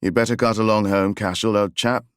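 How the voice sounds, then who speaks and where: A man voicing a tall, gruff gentleman hunter with an English accent. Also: fairly low pitch, steady tone, fairly quick, dry recording, clean studio sound, close to an everyday voice. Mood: gruff offhand. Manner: gruff, offhand.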